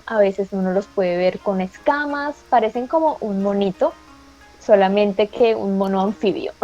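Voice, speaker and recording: A woman's voice: medium pitch, a little mellow. Colombian woman presenting, dull sound. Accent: Colombian Spanish. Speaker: co-host of anime talk show. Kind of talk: presenting topic segment.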